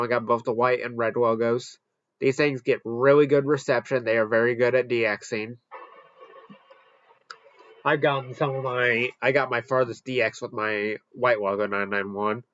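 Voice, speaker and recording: A man's voice: medium pitch, fairly bright tone, no echo, lo-fi sound. A man giving casual, off-the-cuff commentary as he hosts a product ranking. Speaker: host ranking weather radios.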